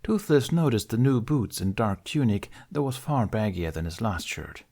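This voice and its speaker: A man's voice: lowish voice, very animated, moderate pace, mellow. A man reading scripted story narration as an audiobook narrator.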